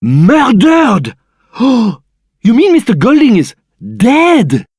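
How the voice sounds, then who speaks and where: Nosy game barman, slow drawl of suspense, lively swings, theatrical, dry sound. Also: extremely high pitch, breathy edge, dry recording, heavily acted. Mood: shocked. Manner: theatrical, gasping, eager for gossip. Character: nosy, easily shocked barman. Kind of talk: video game dialogue acting.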